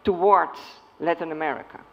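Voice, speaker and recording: Woman from Suriname, native Dutch speaker, earnest and measured, long hall echo, muffled sound. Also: deep voice, steady tone, vocal fry. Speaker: audience member, former member of parliament. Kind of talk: panel discussion comment. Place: conference hall. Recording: muffled audio in a reverberant hall.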